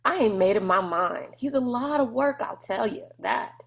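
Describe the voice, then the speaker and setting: A woman voicing a gruff, boastful, bullying man in a storybook, with a folksy, mocking swagger. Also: fairly high pitch, lively intonation, normal speed, pitched above the performer's own voice. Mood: blustering.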